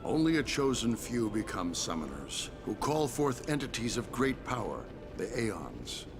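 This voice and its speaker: An actor playing a solemn, reverent temple priest in a video game, with a measured, ceremonial way of speaking. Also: male voice, mid-range voice, very animated. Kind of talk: formal video game voice acting. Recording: loud game music behind.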